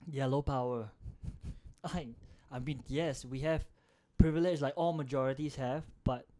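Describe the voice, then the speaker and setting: A man's voice: medium pitch, steady tone, a little slow, dark tone. A young man with a Singaporean accent, rambling and joking as a co-host in a casual podcast chat.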